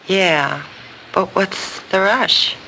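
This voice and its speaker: An actress playing a cool, teasing woman in a 1960s crime film, speaking in a slow drawl. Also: mid-range voice, pushed and bright, exaggerated voice, low-quality recording. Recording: film clip with faint background hiss.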